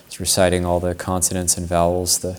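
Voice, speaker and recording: A man, a Buddhist monk, giving a Dharma talk, telling a personal story in a reflective, unhurried way with frequent restarts. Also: low-pitched, completely flat delivery, darkish tone, a little hiss or hum.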